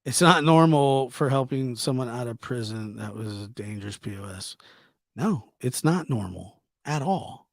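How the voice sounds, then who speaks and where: A man's voice: mid-range voice, lively intonation, normal speed, no echo, clean studio sound. A man hosting a livestream, chatting casually and reacting to viewer comments in an informal, teasing way, often repeating himself. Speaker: livestream host. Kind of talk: casual live chat commentary, reading and answering viewer comments.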